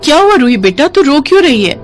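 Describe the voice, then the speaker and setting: A poor young daughter-in-law and mother from a village story, voiced by a woman narrator. She speaks in a raised, earnest, expressive voice, kind but harried. Music runs behind her. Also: high voice, fast, pitched above the performer's own voice. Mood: concerned.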